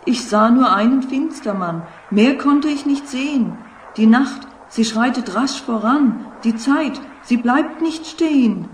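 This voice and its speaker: A woman playing a magical talking boomerang in a children's radio play, a dutiful servant who speaks in solemn theatrical rhyme. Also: animated, takes it slowly, hardly any pauses. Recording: echo effect with faint noise.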